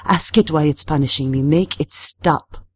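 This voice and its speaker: A woman's voice: low-pitched, moderate pace, breathy edge, no room sound. A woman voicing a vain, cruel madame in a storybook, imperious and desperate, with a haughty, commanding, dramatic delivery.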